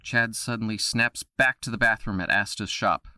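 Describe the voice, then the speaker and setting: A man giving steady, descriptive scripted narration for an audio drama. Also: fairly low pitch, normal speed, no room sound, studio quality.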